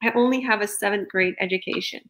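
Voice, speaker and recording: A woman's voice: mid-range voice, fast, a bit bright. A young woman with a New Jersey and Toronto accent, hosting a video call interview with enthusiastic, emphatic talk and frequent laughs. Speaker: host and business coach. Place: small room indoors.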